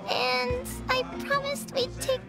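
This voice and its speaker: A woman voicing a squeaky, childlike girl character in a video game. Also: monotone, slow pace. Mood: earnest. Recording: game audio with loud music. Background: loud game music.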